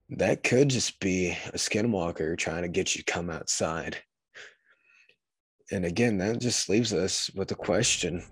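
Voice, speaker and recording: Man, hoarse, husky low voice, casual podcast host, earnest and rambling. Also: no room sound.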